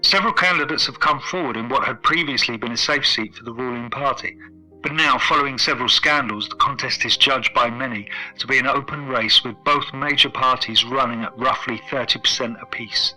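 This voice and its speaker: A man playing a radio newsreader, delivering a scripted bulletin in a formal, measured, impersonal way. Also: medium pitch, pushed and bright, faint music behind, rough recording. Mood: neutral, formal.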